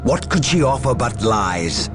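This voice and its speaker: A man's voice: medium pitch, very animated, unhurried, over music. A man voicing a hard, suspicious zealot in a video game, speaking with grave, insistent distrust. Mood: distrustful, urgent. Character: hard, suspicious male zealot urging destruction of aliens. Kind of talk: dramatic video game acting.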